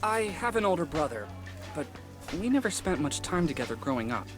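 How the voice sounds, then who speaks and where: An eager polite young man, higher voice, lively intonation, game score behind. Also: fairly quick. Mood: earnest. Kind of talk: game voice acting. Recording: game audio with music behind.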